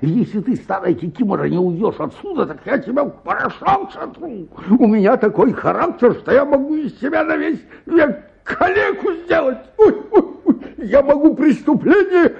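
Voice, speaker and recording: Male bank clerk in a radio drama, exasperated and stammering, husky high voice, tinny phone-like sound. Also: very animated, moderate pace, exaggerated voice. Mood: angry, threatening. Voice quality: raspy, creaky, high-pitched. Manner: flustered, stammering, repeating himself, theatrical. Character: exasperated, hysterical male bank accountant at breaking point. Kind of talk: theatrical radio play acting.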